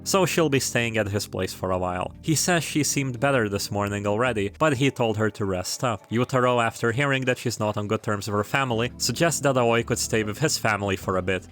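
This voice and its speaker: A man's voice: fairly low pitch, fairly bright tone. A man narrating a scripted plot recap of a story, with casual, informal asides. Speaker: video creator narrating a game retrospective.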